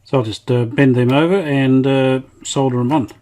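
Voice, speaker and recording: A man casually talking through a hands-on repair demonstration, unhurried and matter-of-fact, thinking aloud as he goes. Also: medium pitch, fairly even intonation, a little mellow. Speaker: hobbyist radio restorer presenting his video.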